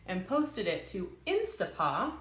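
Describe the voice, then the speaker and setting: Animated woman reading a children's story, roomy sound. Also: mid-range voice, takes it slowly. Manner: expressive, explaining to young children. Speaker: storytime reader. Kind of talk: animated children's picture book read-aloud with asides.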